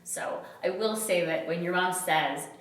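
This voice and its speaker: A woman's voice: fairly low pitch, measured pace. A middle-aged woman from Utah, a podcast guest, chatting casually about her work and laughing at herself often. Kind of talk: casual interview chat. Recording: roomy echo, somewhat far from mic.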